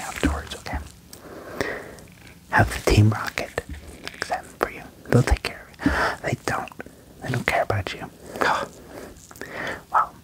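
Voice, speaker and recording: Young male ASMR performer, low-pitched whisper, breathy and close-miked, calming doctor-roleplay delivery with gentle reassurance. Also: poor audio. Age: young adult. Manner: slow, soothing, reassuring, repeating short praise. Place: small indoor room at home. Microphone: close desk microphone with furry windscreen.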